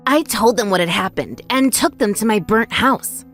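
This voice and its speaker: A woman's voice: medium pitch, animated, normal speed, a bit bright, close to an everyday voice. A woman voicing a frightened, lonely orphaned five-year-old boy, narrating his own story earnestly and emotively in an anime-style dub. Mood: wistful, lonely. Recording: voice over background music.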